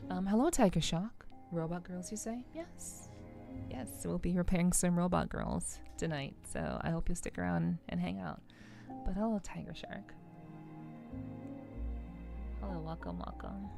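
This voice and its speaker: A soft-spoken woman streamer chatting casually and unhurriedly with her live viewers in an ASMR style, rambling a little hesitantly. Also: on the low side, very animated, unhurried, vocal fry. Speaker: livestreamer, VTuber host. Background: faint music.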